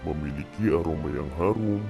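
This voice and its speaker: A man doing a deep gravelly bamboo. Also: over music, exaggerated voice. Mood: glum wondering. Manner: deep, gravelly, slow and glum. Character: envious sad male bamboo plant. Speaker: teacher reading a fable.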